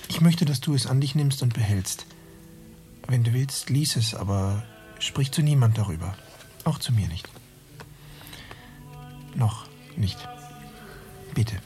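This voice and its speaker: An actor playing a tender, burdened young bridegroom in a radio drama, speaking in a hushed and earnest way. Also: male voice, medium pitch, lively intonation, unhurried, long pauses, soft background music, lightly acted. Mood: earnest, pleading.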